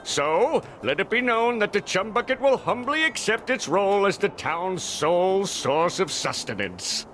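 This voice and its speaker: A tiny cartoon villain inventor, pompous and scheming. The voice is forceful and theatrical, swinging widely in pitch. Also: male voice, sing-song, a little slow, few pauses, slight background noise, heavily acted. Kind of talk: cartoon game acting.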